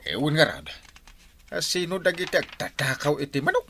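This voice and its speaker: A man with an Ilocano accent acting an excitable, puzzled village husband in a comedy drama. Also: fairly high pitch, normal speed, creaky, a little hiss or hum, exaggerated voice. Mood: worried, vengeful.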